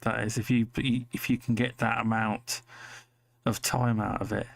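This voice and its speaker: A man's voice: on the low side, flat delivery, unhurried, vocal fry, no echo. A British man talking casually about games with friends, often repeating his phrases. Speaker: gamer on a podcast.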